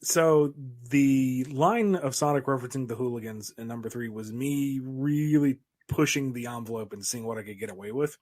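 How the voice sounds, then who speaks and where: A man's voice: mid-range voice, moderate pace, darkish tone, dry recording. A man in casual podcast talk as a writer, wryly acting out quoted exchanges. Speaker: comic book writer.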